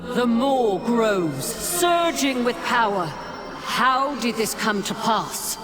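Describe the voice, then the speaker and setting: An actress playing a commanding deity in a fantasy video game, grave, imperious and declamatory. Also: female voice, on the high side, lively intonation, slow, music in the background. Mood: grave, ominous. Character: regal, commanding female deity of a fantasy afterlife. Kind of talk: video game cinematic acting.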